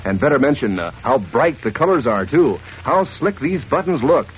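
A man's voice: sing-song, normal speed, lightly acted. An actor playing a boy's friendly older pal in a 1940s radio advert, chummy and salesman-like. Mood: chummy, promotional. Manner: chummy, pitching. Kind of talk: 1940s radio acting in a sponsor spot. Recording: dull, noisy old radio recording.

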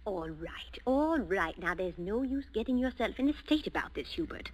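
An actress playing a bossy, scolding middle-aged wife in 1940s radio style, imperious, nagging and clipped. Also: female voice, medium pitch, expressive, normal speed, a little hiss or hum, natural voice. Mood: dismissive, bossy. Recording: vintage 1940s radio recording.

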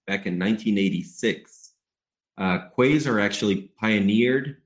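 A man in his thirties from Ecuador, a native Spanish speaker with an American English accent, explaining his travel business as a podcast guest in a measured, businesslike way. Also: fairly low pitch. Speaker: guest, travel company executive. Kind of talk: podcast interview. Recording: roomy echo.

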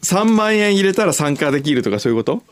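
Low-voiced woman, musing in casual chat. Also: big pitch swings, fast, a little hiss or hum. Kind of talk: casual chat on a talk show.

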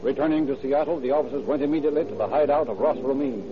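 A man's voice: mid-range voice, rapid-fire. A man delivering scripted narration in the style of an old-time radio crime drama. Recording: muffled vintage radio recording.

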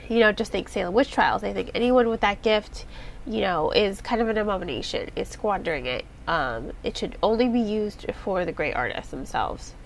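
A woman hosts her podcast with a congested, nasal sound, giving a chatty book review. She rambles, restarts and fills pauses with "um" and "you know". A faint hiss sits low behind her voice. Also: gravelly. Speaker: podcast host reviewing books. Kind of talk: casual spoken book review.

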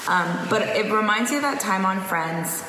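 A woman's voice: medium pitch, lively intonation, moderate pace, noisy background. A woman in her thirties co-hosting a casual morning show, chatty and rambling, losing her voice. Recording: echoey room with long reverb.

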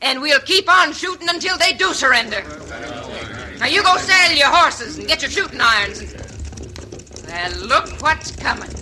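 An actress playing a plucky, defiant marshal's wife with a Western American accent, forceful and indignant in an old-time radio western. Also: female voice, high-pitched, animated, moderate pace, heavily acted. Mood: determined.

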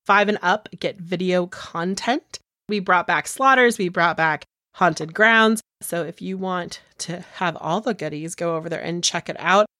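A woman's voice: fairly low pitch, measured pace, sharp tone, dry recording, clean studio sound. A woman co-hosting a casual podcast, chatty and rambling, sounding congested from a cold. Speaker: podcast co-host. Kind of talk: casual chat.

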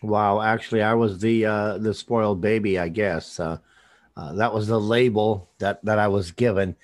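Halting low-voiced male host. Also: fairly even intonation, dry recording, studio quality. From American Midwest. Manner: halting, with many fillers, trailing off quietly. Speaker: podcast host. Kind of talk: casual interview chat.

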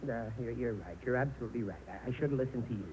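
A man's voice: medium pitch, rapid-fire, mellow, lightly acted. An American actor playing a blustering, scheming, hapless middle-aged husband and father in a 1940s radio comedy, often stammering and pleading. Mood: contrite. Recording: noisy old radio broadcast recording.

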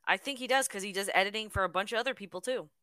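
A woman's voice: mid-range voice, racing, sharp tone, clear, resonant tone, dry recording, studio quality. A woman hosting a podcast, chatting casually and trailing off into fillers. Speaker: podcast host.

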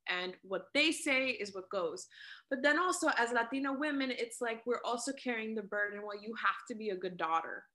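A woman in her late thirties speaks with a bright, forceful tone and a somewhat high pitch. She is earnest, summarizing and expanding on what her co-host says in a casual conversation. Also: normal speed. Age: late 30s. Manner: earnest, summarizing and building on the other speaker's points. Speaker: podcast co-host. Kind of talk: casual personal chat.

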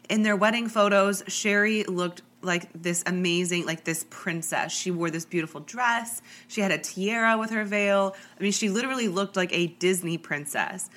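A woman's voice: fairly low pitch, a bit bright. Congested woman hosting a podcast. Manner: chatty, lots of likes and ums. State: congested with a cold. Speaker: podcast host. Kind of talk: true crime podcast storytelling.

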